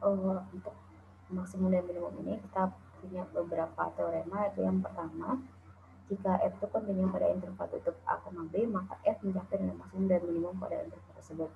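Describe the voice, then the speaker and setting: Gravelly low woman lecturing over slides. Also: quick pace, slight background noise. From Indonesia. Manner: steady explaining with frequent "eh" and "nah". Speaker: calculus lecturer. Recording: tinny phone-like lo-fi audio.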